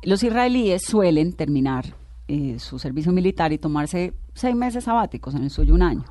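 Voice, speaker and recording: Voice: medium pitch, expressive, moderate pace, dark tone, dry recording. Interviewer prompting with short questions. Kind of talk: conversational interview.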